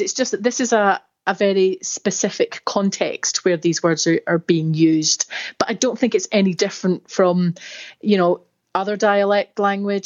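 A woman's voice: mid-range voice, lo-fi sound. A woman with a Scottish accent, a dictionary editor guest on a radio interview, explaining thoughtfully with fillers and restarts. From Scotland.

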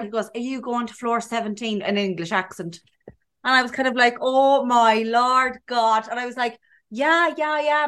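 A young woman with a Cork Irish accent, co-hosting a podcast and telling stories in a lively, chatty way, acting out the dialogue. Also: high voice. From Ireland. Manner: animated storyteller, acts out dialogue. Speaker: podcast co-host. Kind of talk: casual chat.

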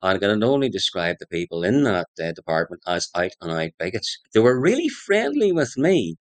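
A man's voice: on the low side, sing-song, fairly quick, dry recording. A middle-aged man with a Northern Irish accent, telling anecdotes as an interview guest in a chatty, emphatic way. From Northern Ireland. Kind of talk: anecdotal storytelling in conversation.

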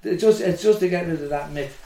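A man's voice: a little high, a little fast, a loud crowd. A middle-aged man, a musician guest, telling stories in a rambling, casual way that is full of swearing and "do you know what I mean". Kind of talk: casual podcast storytelling. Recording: echoey room, voice a bit far from mic.

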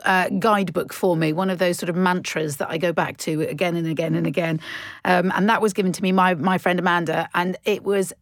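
A woman with a slightly low voice gives a chatty, personal recommendation. She gushes and repeats words for emphasis, and the recording is very dry. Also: dry recording. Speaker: radio presenter.

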